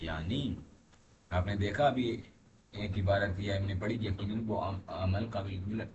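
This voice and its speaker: A man's voice: fairly low pitch, a little slow, muffled recording. A man giving a religious lesson, reading aloud and explaining in an emphatic, didactic manner as a teacher. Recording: clearly noisy recording with background ambience.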